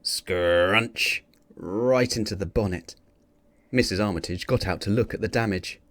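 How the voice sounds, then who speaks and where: Lowish-voiced man reading a children's story. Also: a little slow, fairly bright tone, no room sound. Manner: theatrical on sound-effect words. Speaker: storybook reader. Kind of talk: expressive children's read-aloud narration.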